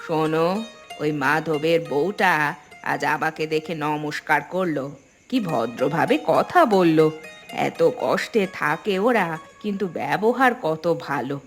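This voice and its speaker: A woman voicing a kind-hearted landlord's wife in a cartoon, gently reproachful. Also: low voice, animated, takes it slowly, soft background music, exaggerated voice. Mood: sympathetic. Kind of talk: scripted cartoon dialogue.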